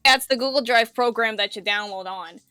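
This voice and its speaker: Woman tutor, higher-pitched and very bright, casual chat, close dry sound over music. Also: normal speed, clean tone, dry recording. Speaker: tutor guiding a visual novel project.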